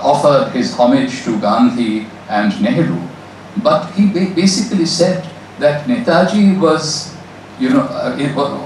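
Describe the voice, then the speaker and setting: Man, academic speaker, measured and emphatic, heavy room echo, distant mic. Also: mid-range voice. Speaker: guest lecturer, professor. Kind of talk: academic answer to an audience question. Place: lecture room at a university. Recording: echoey hall, distant mic, faint noise.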